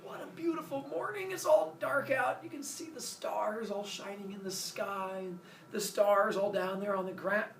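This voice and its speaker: A man voicing a bossy, short-tempered king of the gods in a storytelling lesson, loud and demanding. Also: high-pitched, moderate pace, pitched above the performer's own voice. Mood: bossy, puzzled. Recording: roomy, distant mic, faint noise.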